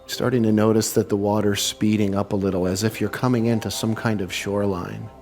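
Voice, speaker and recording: A man guiding a meditation in slow, soothing, measured phrases with long pauses. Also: lowish voice, flat delivery, darkish tone, soft background music. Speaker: meditation leader and spiritual speaker.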